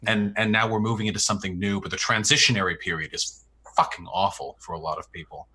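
A man's voice: on the low side, steady tone, fast talker, bright, forceful tone, slight background noise, rough recording. A man in a casual discussion who talks loosely, jokes around, swears and restarts his sentences.

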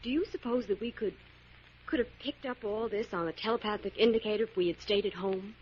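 Woman playing curious detective's sidekick, medium female pitch, 1940s radio acting, muffled faintly noisy sound. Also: fairly quick, lightly acted. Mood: curious, teasing. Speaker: actor.

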